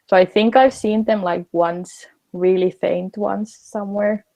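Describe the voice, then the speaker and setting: A woman's voice: mid-range voice, fairly even intonation, measured pace, creaky, mellow. A young woman, chatting casually as an interview guest, with many fillers. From Finland. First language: Finnish.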